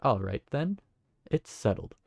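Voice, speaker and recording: A man's voice: mid-range voice, very animated, measured pace, gravelly, no echo, studio quality, heavily acted. A man playing a young man who just inherited a magical tavern, speaking in a polite, earnest, slightly flustered way. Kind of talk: fantasy audio drama acting.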